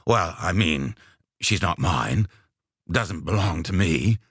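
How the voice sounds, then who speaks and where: A man voicing a rough, hulking drinker in an audiobook, gruff, awkward and hesitant. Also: sits low, lively intonation, takes it slowly, fairly bright tone, no room sound, studio quality, heavily acted. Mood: awkward, pleading. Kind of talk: audiobook character voice.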